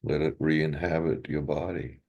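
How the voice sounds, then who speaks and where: A man leading a guided meditation in a gentle, unhurried way, leaving long pauses between short phrases. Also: extremely low pitch, little pitch movement, vocal fry.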